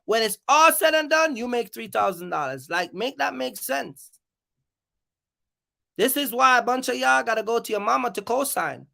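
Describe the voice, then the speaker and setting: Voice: medium pitch, animated, moderate pace, gravelly, bright, forceful tone. A livestream host on an off-the-cuff rant, speaking emphatically and confrontationally and repeating phrases to drive points home. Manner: confrontational, challenging listeners. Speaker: live stream host.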